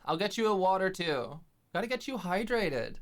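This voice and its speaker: A woman's voice: fairly low pitch, sing-song, normal speed, slight background noise. A woman playing an affectionate, fussing and coaxing ex-manager girlfriend in an intimate ASMR roleplay. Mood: doting, fussing. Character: doting, eager, dominant ex-manager girlfriend at home.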